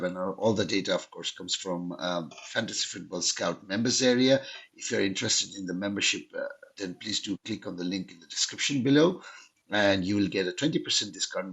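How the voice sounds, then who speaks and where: A man hosting a casual football fantasy podcast, hoarse from a cold, who fills his talk with "um" and "of course". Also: lowish voice, fairly bright tone. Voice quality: husky. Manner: frequent fillers and "of course". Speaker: podcast host. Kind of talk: casual chat.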